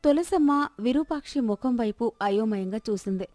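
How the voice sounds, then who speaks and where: Female storyteller for moral tales, scripted narration, mellow tone, dry close sound. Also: a little high, lively intonation, moderate pace, no echo.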